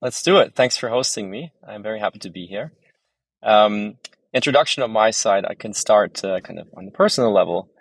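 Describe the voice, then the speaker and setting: A man in his thirties speaks in a very low voice with some gravel in it. He answers interview questions in a measured, articulate way, with careful phrasing. The sound is dry, with little room on it. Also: animated, dry recording. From Germany, raised abroad in several countries. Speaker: podcast guest, startup founder.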